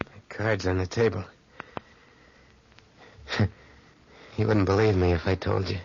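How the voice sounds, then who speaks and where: A man's voice: low-pitched, little pitch movement, measured pace, vocal fry, a little mellow, no echo, full character voice. An actor playing a troubled, defensive wounded man with a strained, dramatic manner in a 1940s radio drama. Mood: resigned recollection. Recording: muffled old radio recording, dry.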